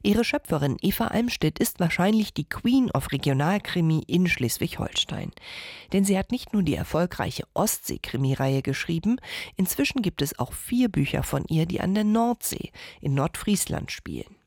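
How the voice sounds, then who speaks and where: A woman reporter reading a scripted radio feature in a lively, measured way. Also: lowish voice, no room sound, clean studio sound.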